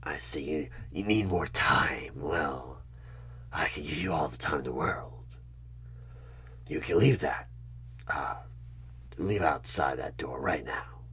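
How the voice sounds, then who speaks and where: A man playing a sinister, coaxing evil recruiter who bargains for souls in a creepy ASMR horror roleplay, husky and half-whispered, with deliberate dramatic pauses. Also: extremely low pitch, sharp tone, full character voice. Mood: persuasive, menacing. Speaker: audio roleplay performer.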